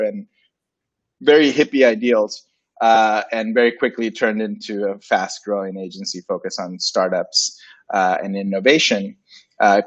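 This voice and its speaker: Guest voice: man in his 40s, Spanish first language, low-pitched and easygoing storyteller, slightly muffled lo-fi sound. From Puerto Rico and Dominican Republic. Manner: relaxed, storytelling, playful. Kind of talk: casual interview. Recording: dull lo-fi sound.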